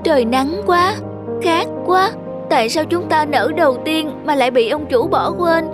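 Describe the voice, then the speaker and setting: A vain young cartoon sunflower with a high, girlish pitch. The delivery is expressive and full of exclamation, made for children's animation. Music plays behind the voice. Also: moderate pace. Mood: miserable, complaining. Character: excited, vain young female sunflower, later despairing.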